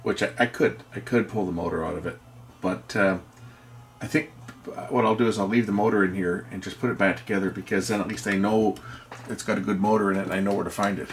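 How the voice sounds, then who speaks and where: A middle-aged or older man giving casual, unscripted repair commentary, rambling and halting as he thinks aloud. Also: fairly low pitch, fairly even intonation, slight background noise. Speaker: electronics repair video host.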